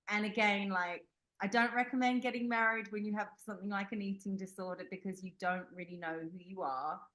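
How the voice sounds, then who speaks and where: A woman's voice: mid-range voice, fairly bright tone, low-quality recording. A young adult woman from the United Kingdom, a guest telling a personal story in an interview, candid and rambling with many fillers and restarts. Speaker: podcast guest.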